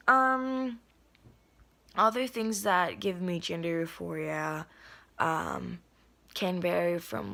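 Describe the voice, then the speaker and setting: A young adult nonbinary vlogger talks casually to the camera at home. The voice is a little hoarse and raspy, and the talk is earnest, full of ums and likes. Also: medium pitch, a bit bright. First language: Spanish. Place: indoors at home.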